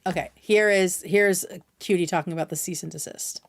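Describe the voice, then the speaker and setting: A woman's voice: lowish voice, steady tone, moderate pace. An American woman hosting a livestream, giving casual, opinionated commentary in a blunt, sweary, conversational way. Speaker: live stream host reacting to clips.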